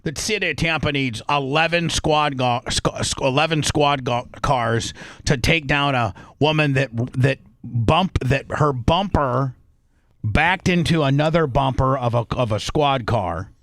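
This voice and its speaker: A man's voice: medium pitch, unhurried, fairly bright tone, no echo. Blunt, indignant male talk show host. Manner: blunt, crude, indignant, repeats phrases for emphasis. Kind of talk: heated opinionated reaction commentary.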